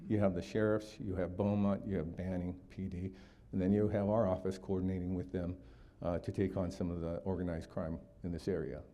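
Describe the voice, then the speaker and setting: A man's voice: low voice, level tone, brisk, warm tone. A man in his sixties from Hawaii, giving a community talk for a district attorney's office, explaining steadily with frequent fillers.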